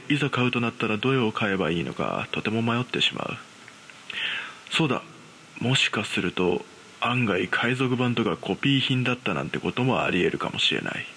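Horror radio drama lead: man playing an ordinary, frightened young guy, measured suspenseful narration, forceful tone, faint hiss. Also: fairly low pitch, vocal fry, heavily acted. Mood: surprised, doubtful. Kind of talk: dramatised ghost story narration.

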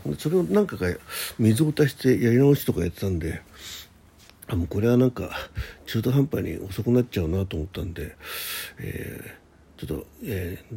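Low-voiced man with a gravelly edge. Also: warm tone. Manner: halting, thinking aloud in short polite phrases. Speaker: radio host. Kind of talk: casual solo chat about daily life.

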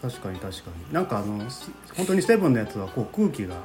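A man with a Kansai accent hosts a relaxed tasting chat over faint guitar music. He talks fast with animated intonation, explaining and prompting in a lightly teasing way. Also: mid-range voice. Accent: Kansai Japanese. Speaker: host, amateur drama troupe leader. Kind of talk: casual food-tasting chat. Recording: soft plucked guitar music behind. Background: light guitar background music.

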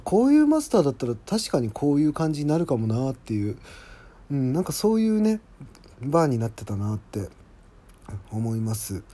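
A man talks on his own as a podcast host, in a relaxed, mellow voice at an average male pitch. He gives casual behind-the-scenes commentary as a scriptwriter, chatty and admiring as he goes.

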